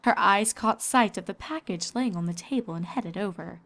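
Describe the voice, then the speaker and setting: A woman's voice: medium pitch, moderate pace, vocal fry, a bit bright. A woman reading scripted story narration as a storyteller and voice actor.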